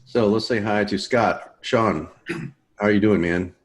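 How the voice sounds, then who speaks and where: A man's voice: lowish voice, measured pace, a little hiss or hum. A man hosting a course, joking in laid-back casual chat on a video call before the lesson. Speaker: course host.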